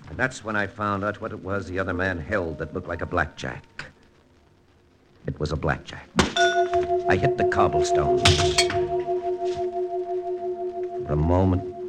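A man's voice: extremely low pitch, heavily acted. A man playing a suspicious army lieutenant who narrates in terse, wry noir style in an old radio drama. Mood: tense. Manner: terse, clipped, wry storytelling. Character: hardboiled, suspicious male army lieutenant narrating his investigation. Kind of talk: 1940s-50s radio drama acting, first-person noir narration. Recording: old radio recording with music underneath.

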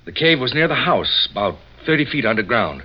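A man's voice: mid-range voice, sing-song, brisk. A man acting a frightened, earnest young man in a melodramatic 1940s radio crime drama. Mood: earnest, troubled. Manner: agitated, melodramatic. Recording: muffled old radio recording.

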